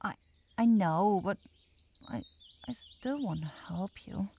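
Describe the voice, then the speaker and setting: A woman's voice: medium pitch, animated, slow, creaky, dark tone, slightly rough, full character voice. A woman voicing a sweet, clumsy forest witch in a fantasy ASMR roleplay, stammering and hesitant as she talks. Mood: shy, tender. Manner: stammering, hesitant, breaks off and repeats words.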